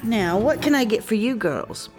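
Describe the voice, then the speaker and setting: A woman's voice: fairly high pitch, expressive, normal speed, lightly acted. An actress playing a motherly small-town diner waitress, folksy and concerned. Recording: audio drama with faint music beneath.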